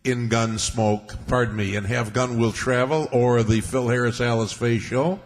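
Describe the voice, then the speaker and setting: A man's voice: medium pitch, steady tone, a little slow. A man making an earnest, unscripted announcement to a live audience, inviting people to join in. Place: township hall stage. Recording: echoey hall.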